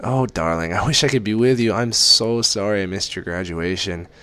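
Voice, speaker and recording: A man's voice: fairly low pitch, moderate pace, sharp tone. A man reading aloud and voicing a doting, chatty middle-aged mother who fusses affectionately. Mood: fussing. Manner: fussy, affectionate, lilting.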